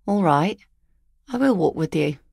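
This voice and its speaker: A gravelly, deadpan net mender. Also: female voice, fairly low pitch, unhurried, dry recording, heavily acted. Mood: wry. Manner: dry, deadpan and teasing. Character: weary, sardonic adult female net mender with kids. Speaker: voice actress. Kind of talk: video game voice lines.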